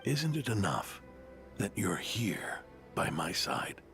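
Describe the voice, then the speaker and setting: A man playing a dying, gentle father-figure knight in a video game, breathy, gravelly and halting, full of tender resignation. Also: lowish voice, very animated, music in the background, exaggerated voice. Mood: tender, fading. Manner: slow, halting, affectionate. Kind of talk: dramatic video game voice acting.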